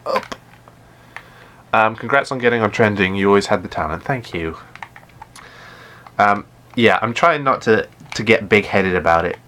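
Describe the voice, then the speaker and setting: A man with a deep voice chatting casually while playing a game live, often stumbling and repeating words. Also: normal speed, pauses often, a bit bright, a little hiss or hum. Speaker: gaming live streamer.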